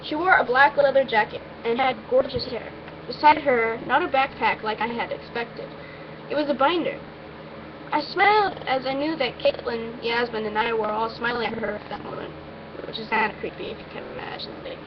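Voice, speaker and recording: High-voiced woman reading, muffled noisy recording. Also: normal speed. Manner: informal, stumbles and breaks off with asides. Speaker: amateur reader of a story. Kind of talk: first-person story reading, informal.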